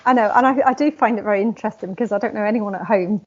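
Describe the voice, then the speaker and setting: A woman's voice: medium pitch, very fast, highs cut off, slight background noise. A woman hosting a conversational interview, chatty and agreeable in the way she talks. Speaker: interviewer, host.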